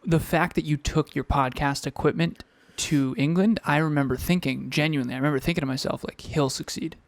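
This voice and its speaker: A man co-hosting a podcast in casual chat, talking reflectively and filling pauses with um, recorded at home with a fan running. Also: on the high side, steady tone, no echo. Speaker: podcast co-host.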